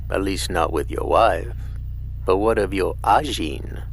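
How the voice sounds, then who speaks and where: An actor playing a coldly sadistic mastermind in an audio drama, speaking in a deliberate, taunting, mock-polite way. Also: male voice, low-pitched, lively intonation, gravelly, a little hiss or hum, heavily acted. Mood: taunting, smug. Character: menacing, coldly sadistic male mastermind of a covert group.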